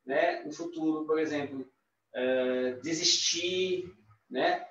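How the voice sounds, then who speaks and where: A man with a Brazilian accent voicing a signed talk, halting, with restarts and tag questions. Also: on the high side, a bit bright. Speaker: sign language interpreter. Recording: distant echoey lo-fi livestream audio.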